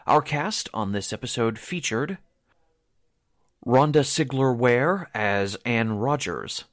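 A male announcer with an animated, swinging intonation and a medium pitch. He reads scripted radio theater credits in formal, theatrical phrasing, recorded very dry and close. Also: no room sound.

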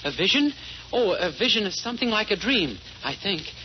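Naive young American on noisy old radio. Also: male voice, sits high, big pitch swings, a little fast, lo-fi sound. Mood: gentle, kindly. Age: young adult. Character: naive, eager, kind-hearted young man in a sitcom. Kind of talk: 1940s radio comedy acting.

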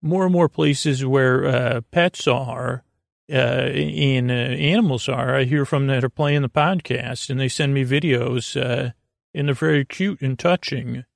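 A man with a creaky voice hosting a podcast in a rambling, soothing, casual bedtime monologue, halting and often trailing off mid-thought. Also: dry recording, studio quality. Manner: soothing, low-key. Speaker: podcast host.

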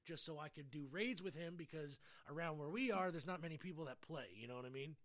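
A man's voice: a little high, fast, a bit bright. Chatty, enthusiastic middle-aged man. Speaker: podcast host. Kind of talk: casual chat about video games.

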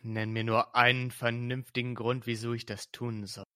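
A man voicing an irritable young adult detective who argues defiantly and shouts in anger. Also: medium pitch, little pitch movement, moderate pace, clear, resonant tone, no room sound, clean studio sound, lightly acted. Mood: furious.

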